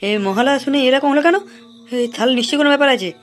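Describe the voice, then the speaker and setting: A man's voice: extremely high pitch, racing, a bit bright, soft background music. A man voicing a grumpy, cowardly young village man in a comic cartoon, with slangy, blustering, stammering speech. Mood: defiant. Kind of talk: amateur comic cartoon acting.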